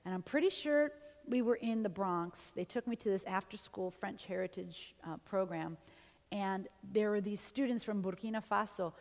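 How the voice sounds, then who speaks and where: A middle-aged woman from New York, a university professor, answering questions after her talk in a chatty, animated way. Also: mid-range voice, normal speed. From New York, USA. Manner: chatty, animated, laughs easily. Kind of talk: lecture and audience Q&A. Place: large auditorium stage. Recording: long hall reverb from the auditorium.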